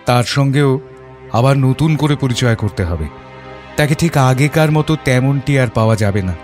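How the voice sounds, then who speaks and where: An actor playing a gentle, compassionate Bengali father who narrates his own story in a reflective, heartfelt way. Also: mid-range voice, expressive, moderate pace, soft background music, close to an everyday voice. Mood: reflective, moved. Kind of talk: first-person audio story narration.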